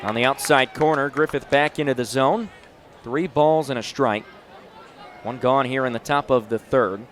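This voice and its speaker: A man's voice: medium pitch, normal speed, a bit bright, a faint crowd. A man in his twenties doing live play-by-play sports commentary in short, punchy announcer phrases. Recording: noisy live venue sound.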